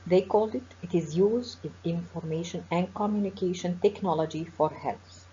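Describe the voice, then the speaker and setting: A middle-aged Egyptian-accented woman delivers a formal online lecture in a low, mellow voice. She speaks in measured phrases, pausing often with small fillers. The webinar audio is dull and lo-fi, with a faint hiss underneath. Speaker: professor giving a webinar lecture.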